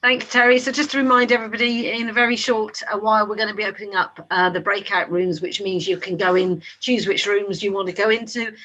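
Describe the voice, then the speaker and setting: A woman's voice: mid-range voice, fairly even intonation, fast talker, few pauses, bright, forceful tone, rough recording. A woman hosting a webinar on a video call, introducing speakers in a friendly way with many fillers. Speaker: webinar host.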